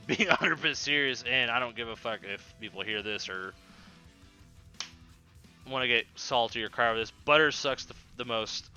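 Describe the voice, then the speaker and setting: A young man with a very bright, forceful voice hosts a laid-back podcast over faint music. He rambles through listener questions in slangy, profanity-laced talk. Now and then he stutters slightly on a word. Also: medium pitch, smooth. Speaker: podcast host. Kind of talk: casual chat answering listener questions.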